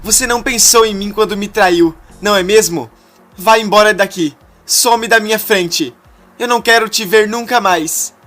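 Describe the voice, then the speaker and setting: A man's voice: high-pitched, normal speed, pushed and bright, soft background music, pitched above the performer's own voice. A man voicing a betrayed young woman who is shocked and furious, shrilly screaming her lines in a retold soap-opera story.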